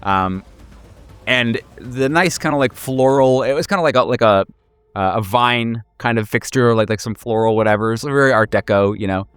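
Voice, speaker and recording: A man's voice: mid-range voice, normal speed, a bit bright, clear, resonant tone, no room sound, studio quality. A man game mastering a horror tabletop game in casual, improvised narration, joking and swearing freely. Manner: profane, joking.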